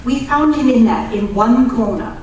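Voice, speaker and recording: Heard from far off in a very echoey hall, a woman plays a genteel lady archaeologist in a radio play. She is eager, polite and earnest, with a fairly high voice over faint noise. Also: animated, normal speed, darkish tone, natural voice. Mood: earnest, proud. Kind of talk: old-time radio drama acting on stage.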